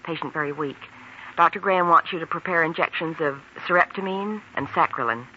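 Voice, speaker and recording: A man playing a brisk, businesslike American hospital switchboard worker in a 1940s radio drama. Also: fairly high pitch, pushed and bright. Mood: urgent, businesslike. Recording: muffled 1940s radio recording, faint noise.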